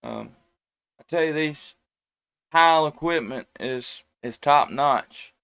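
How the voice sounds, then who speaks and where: Male, 30s, slightly higher-pitched, smooth tone, easygoing rambling radio hobbyist, dry headset capture. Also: wildly swooping pitch, takes it slowly, a bit bright, no room sound. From United States. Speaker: amateur radio operator and live stream host. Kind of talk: casual ham radio chat. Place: at home indoors. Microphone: headset microphone.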